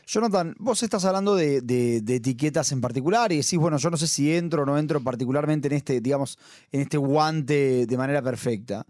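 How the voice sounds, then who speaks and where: Higher-pitched man asking questions. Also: brisk, a little mellow, no room sound, studio quality. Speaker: radio interviewer. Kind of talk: conversational interview questions.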